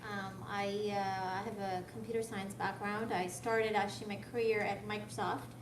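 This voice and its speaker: Soft-spoken woman presenter in echoey, noisy room. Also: mid-range voice, level tone, moderate pace. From Canada. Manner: hesitant, conversational, asks rhetorical questions. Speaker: guest speaker, software development manager. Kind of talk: informal lecture presentation. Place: lecture room at a tech school. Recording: echoey room, distant mic, noisy background.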